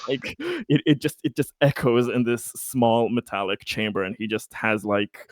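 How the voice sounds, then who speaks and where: Halting man at a game table, dry sound. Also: medium pitch, lively intonation, no room sound. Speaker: player in a tabletop roleplaying game. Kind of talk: casual game chat.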